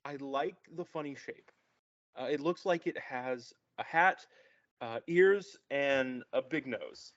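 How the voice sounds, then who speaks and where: A man's voice: mid-range voice, slow, a bit bright. A man reading a naive, stammering young man. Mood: earnest. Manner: hesitant, stammering, wide-eyed. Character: naive sheltered young man, childlike and eager. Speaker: table read actor. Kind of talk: play table read. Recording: echoey room, muffled lo-fi.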